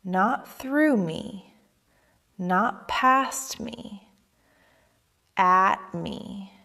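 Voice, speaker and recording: A woman playing a proud, melancholy, centuries-old female spirit who sings death, narrating a gothic audio drama in a solemn, hushed manner. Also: medium pitch, lively intonation, gravelly, heavily acted. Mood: hushed, haunted. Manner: solemn, hushed, deliberate storytelling delivery. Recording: long cavernous reverb.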